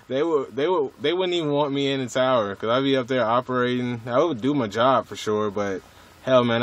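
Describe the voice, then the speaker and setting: A man's voice: medium pitch, a bit bright. A man chatting casually over two-way radio from a vehicle, with slangy, swearing, jargon-heavy talk. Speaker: CB radio hobbyist filming his radio chat. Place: inside a vehicle at a truck stop. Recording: noisy mobile recording.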